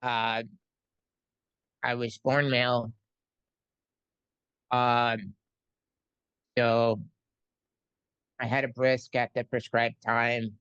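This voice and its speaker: Slow, halting male interviewer. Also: medium pitch, little pitch movement, a bit bright, smooth, no echo, dull audio. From New York City. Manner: slow, halting, frequent ums and pauses. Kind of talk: casual conversational interview.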